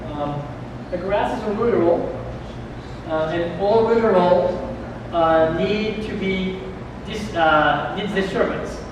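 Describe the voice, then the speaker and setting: A man presenting at a public meeting, explaining in a halting way with many restarts and fillers. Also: sits high. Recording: echoey hall from far off, noisy.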